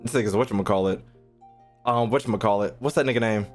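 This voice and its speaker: A young man streaming a game with animated, slangy, profane casual commentary, often repeating his phrases. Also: fairly low pitch, quick pace, faint music behind. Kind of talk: casual live gaming commentary.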